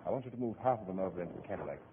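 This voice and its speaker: Menacing, polite crime boss, lowish rapid voice, 1950 radio play, narrow noisy sound. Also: male voice, expressive. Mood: brisk command. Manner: polite, sardonic, commanding. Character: smooth, commanding male crime boss, coolly menacing. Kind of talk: 1950s radio acting.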